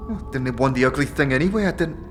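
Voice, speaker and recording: A man's voice: mid-range voice, animated, quick pace, soft background music, pitched above the performer's own voice, natural voice. A man voicing a sly, feisty female tiefling scavenger who talks in colloquial street cant for an audio drama. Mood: gossipy, sneering. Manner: colloquial street cant, tags repeated at sentence ends. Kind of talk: audio drama character acting.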